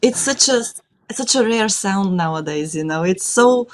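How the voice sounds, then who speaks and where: A woman whose first language is Bulgarian, co-hosting a casual chat, speaking thoughtfully with many pauses and fillers. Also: mid-range voice, lively intonation. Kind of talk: casual conversation.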